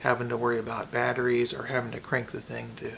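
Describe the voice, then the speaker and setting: A man giving a casual, unscripted product review, thinking aloud in a halting way with pauses. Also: mid-range voice, completely flat delivery, gravelly, fairly bright tone, slight background noise.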